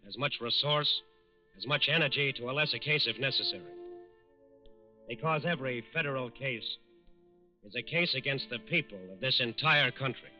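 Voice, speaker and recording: A man's voice: medium pitch, close to an everyday voice. A man acting as the stern, grave narrator of a 1940s radio crime series, speaking in solemn, emphatic phrases. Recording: dull 1940s radio recording, music behind.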